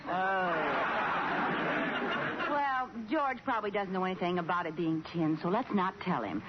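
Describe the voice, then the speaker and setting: An actress playing an excitable, scheming young wife in a theatrical, exaggerated vintage radio sitcom style. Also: female voice, fairly low pitch, measured pace, a faint crowd. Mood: playful, hopeful. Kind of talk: 1940s radio sitcom acting. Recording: dull, noisy vintage radio recording.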